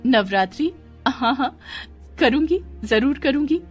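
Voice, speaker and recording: A woman's voice: high voice, animated, a little slow, faint music behind, pitched above the performer's own voice. A woman voicing a timid, devout young wife who speaks in an earnest, pleading way in a dramatized moral story. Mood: eager.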